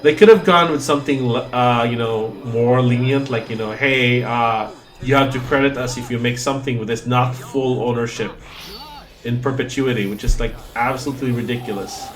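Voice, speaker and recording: A man's voice: mid-range voice, fairly even intonation, normal speed, a little hiss or hum. A man whose first language is Cebuano, chatting and joking as he streams a game and reads its text aloud. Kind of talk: let's play commentary.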